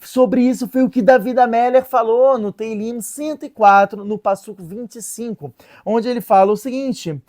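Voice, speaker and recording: A woman presenting a casual lesson in an animated, joking way, stopping to read and translate sources. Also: on the high side, normal speed. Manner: animated, playful, joking asides; reads and translates sources aloud. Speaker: religious video presenter.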